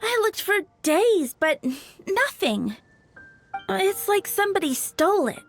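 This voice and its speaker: A woman's voice: extremely high pitch, sing-song, measured pace, faint music behind, heavily acted. A woman playing a timid, earnest young townswoman in a video game. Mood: distressed, puzzled. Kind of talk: video game character acting.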